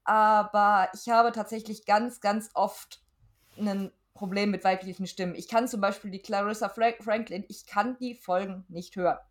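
A woman's voice: medium pitch, fairly even intonation, moderate pace. A middle-aged woman, chatting casually and frankly as a podcast guest, with emphatic wording. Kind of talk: casual chat.

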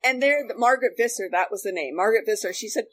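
A woman's voice: mid-range voice, lively intonation, quick pace, a bit bright. A woman chatting casually as a guest, telling anecdotes and acting out quoted dialogue. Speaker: guest, former radio colleague.

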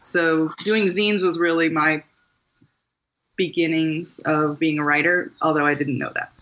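A woman's voice: low-pitched, steady tone, a little slow, a bit bright, muffled recording. A woman in her forties from southern Maryland, talking thoughtfully as an interview guest with frequent ums and restarts. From southern Maryland, USA. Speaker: guest author. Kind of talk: interview conversation.

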